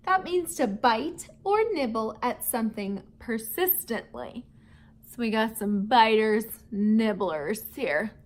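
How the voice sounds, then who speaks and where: A woman's voice: fairly high pitch, expressive, slow pace. A woman teacher playfully leading a vocabulary lesson and storybook read-aloud for schoolchildren, addressing her listeners directly. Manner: playful, addresses listeners with questions, chuckles often.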